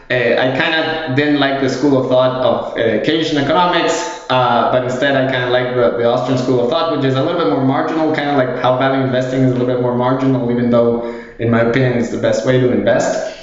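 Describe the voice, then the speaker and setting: Hesitant Guatemalan man in an echoey room. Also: medium pitch. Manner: hesitant, many fillers and restarts, casual phrasing. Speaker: guest, fund manager. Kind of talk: podcast interview. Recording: very echoey, dull remote audio.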